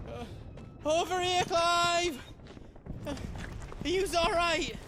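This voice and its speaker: An actor playing a nervous, chatty merchant in a game cutscene. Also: male voice, very high-pitched, drawn-out words, noisy background, exaggerated voice. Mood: flustered. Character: nervous chatty male merchant, young-ish. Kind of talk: video game cutscene acting.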